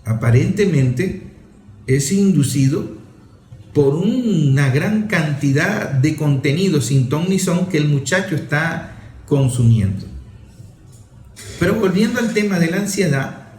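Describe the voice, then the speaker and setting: A man's voice: a little high, lively intonation, unhurried, darkish tone, echoey room. A man giving an explanatory monologue, speaking straight to the listener and using everyday examples. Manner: didactic, addresses listener directly with examples.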